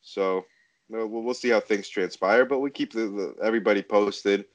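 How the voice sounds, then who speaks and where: Male sports co-host, low pitch, dry close sound, casual, hesitant, measured. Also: no echo, lo-fi sound.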